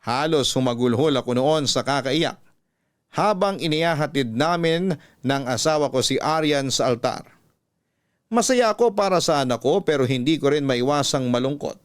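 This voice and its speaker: A man reading a scripted emotional story in a measured, emotive way, like a radio storyteller. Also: fairly high pitch. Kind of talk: dramatic scripted story narration.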